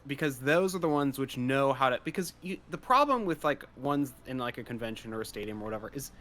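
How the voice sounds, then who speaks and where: A man's voice: mid-range voice, lively intonation, fast talker, a bit bright. A man co-hosting a casual gaming talk show, rambling through his points with many fillers. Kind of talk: casual chat.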